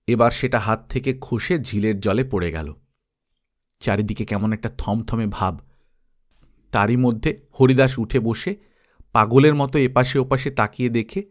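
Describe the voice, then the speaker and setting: Male story reader, medium pitch, expressive scripted narration with measured, dramatic delivery.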